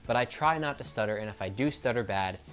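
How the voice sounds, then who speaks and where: A man's voice: fairly low pitch, quick pace, fairly bright tone, faint music behind. A man talking casually to camera in an unscripted monologue, rambling and correcting himself mid-sentence, with the odd stutter. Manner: stutters now and then. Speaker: YouTuber.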